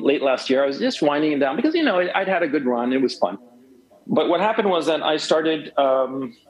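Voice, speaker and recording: A man's voice: medium pitch, rough recording. A man in his forties from Chile, a guest talking emphatically on a cell phone, rambling with many restarts. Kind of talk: phone interview.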